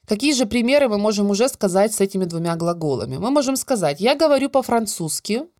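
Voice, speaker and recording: A woman teaches French grammar in a clear, measured way, drawing out the example words. Her voice sits at a middle pitch. The sound is dry and close, with no room echo. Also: sing-song, darkish tone. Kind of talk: scripted grammar lesson narration.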